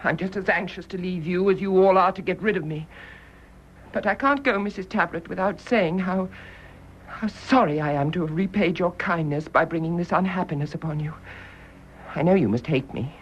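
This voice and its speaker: An actress playing a bitter, unyielding British nurse in a radio drama, intense and pointed. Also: female voice, on the low side, moderate pace, husky. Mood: anguished. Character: bitter, accusing private nurse, anguished yet unyielding. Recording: thin, phone-like band-limited radio recording.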